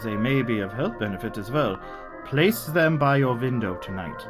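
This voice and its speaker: A man's voice: medium pitch, animated, normal speed, over music, natural voice. A man playing a shrewd, secretive old foreign professor in a radio play, speaking formally with inverted foreign phrasing. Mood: gently evasive.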